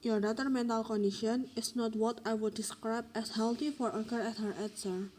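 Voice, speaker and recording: A woman playing a formal, apologetic psychiatrist in an amateur radio drama, with a measured delivery. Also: fairly high pitch, steady tone, natural voice. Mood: grave.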